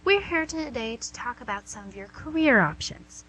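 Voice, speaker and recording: A woman's voice: a little high, very animated, normal speed, sharp tone, a little hiss or hum, poor audio. A woman playing a prim guidance counselor, polite but dryly skeptical, in an amateur comedic radio play. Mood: businesslike. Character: prim, exasperated female student guidance counselor.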